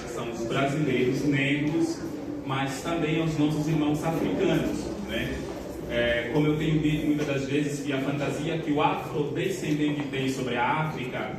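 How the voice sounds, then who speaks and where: Angolan man speaking in an echoey hall. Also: medium pitch. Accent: Angolan Portuguese. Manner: measured, many pauses and filler words. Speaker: speaker at a church community event. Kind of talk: reflective talk to an audience. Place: church event hall. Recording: echoey hall, distant mic, noisy.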